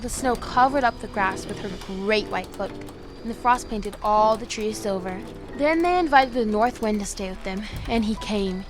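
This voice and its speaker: A gentle young woman, played by an actress, reads a storybook tale aloud over clear background music. Her voice sits at a middle pitch, and her telling is measured and earnest. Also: lightly acted. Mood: earnest storytelling. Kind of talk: storybook narration in an audio drama.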